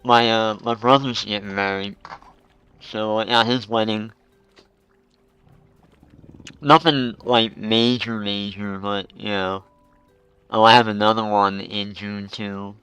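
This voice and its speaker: A man's voice: lowish voice, steady tone, takes it slowly, pauses often, bright, forceful tone, clean tone, soft background music. A man in his forties chatting casually as he streams a game, rambling and musing aloud. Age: 40s. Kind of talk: casual live-stream chat while gaming.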